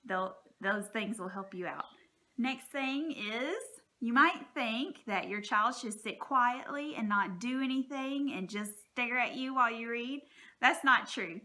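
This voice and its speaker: A woman's voice: fairly high pitch, pushed and bright. A woman speaking as a teacher, giving informal, encouraging and reassuring advice to parents in a plain-spoken, folksy way.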